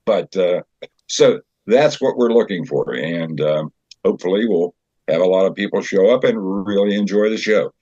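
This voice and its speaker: An older man chatting as an interview guest, telling anecdotes in a halting, conversational storyteller's way. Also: low voice, mellow, husky, dry recording. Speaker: guest, community theater director and actor. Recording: slightly dull, dry recording.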